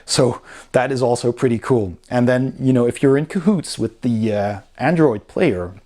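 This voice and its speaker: A man with a mid-pitched voice talks through a rulebook as a reviewer. His delivery is casual and explanatory, with an informal, conversational way of putting things. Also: moderate pace, dark tone. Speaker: board game reviewer.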